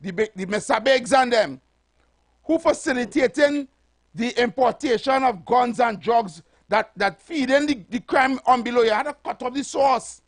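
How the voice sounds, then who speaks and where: A man's voice: very high voice, moderate pace. A man with a Trinidadian accent hosting a call-in radio show, arguing emphatically and often asking "right?". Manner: emphatic, repeats words while searching, checks in with "right?". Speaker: radio host. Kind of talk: call-in talk radio discussion.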